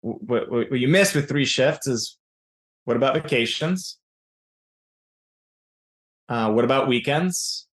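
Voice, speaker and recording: A man in his forties whose first language is Serbian, answering interview questions in an explanatory way, often pausing on "uh" and restarting phrases. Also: mid-range voice, lively intonation. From raised in Yugoslavia. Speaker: business founder as guest.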